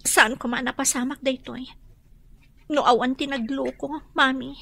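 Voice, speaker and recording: A woman's voice: sits high, fairly even intonation, unhurried, gravelly, a bit bright, heavily acted. A Filipino woman acting a hurt, troubled young wife and mother with a plaintive manner in a radio drama. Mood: hurt, protesting.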